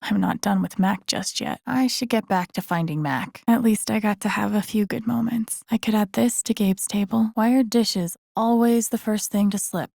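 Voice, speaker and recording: Casting: wry, grieving young woman, game drama lead; soft, gravelly fry, introspective muttering, close dry sound. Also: moderate pace, few pauses, no echo. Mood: quietly determined, then weary, wistful. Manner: low-key, introspective, muttering to herself. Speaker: video game voice actress. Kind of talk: naturalistic game character lines, inner-monologue asides.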